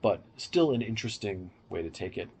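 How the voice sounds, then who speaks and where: A man's voice: fairly low pitch, lively intonation, fairly quick, a little mellow, slight background noise. A man hosting a podcast, giving an explanatory, opinionated review in casual discussion. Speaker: podcast host.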